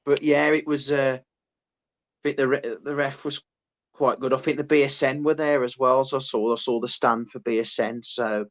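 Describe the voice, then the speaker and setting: Guy co-hosting a podcast, pretty flat monotone, rambly and unhurried. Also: medium pitch. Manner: rambling, many restarts, unhurried. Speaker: podcast co-host. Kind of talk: casual chat.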